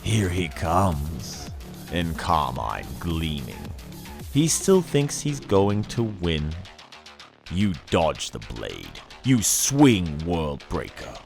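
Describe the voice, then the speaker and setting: Deep voiced guy doing slow dramatic audiobook narration, menacing, big swings in tone, music underneath. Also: sing-song, gravelly. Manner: theatrical, menacing, emphatic delivery with deliberate pauses. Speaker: narrator reading an audiobook excerpt. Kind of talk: dramatic scripted narration of dark science fantasy. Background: dramatic background music.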